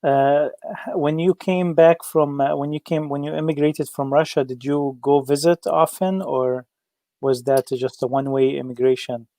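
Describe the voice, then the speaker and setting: A man hosting an interview, asking questions haltingly with many fillers, Arabic his first language. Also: a little high, steady tone, mellow, no room sound. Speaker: interview host. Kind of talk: casual interview.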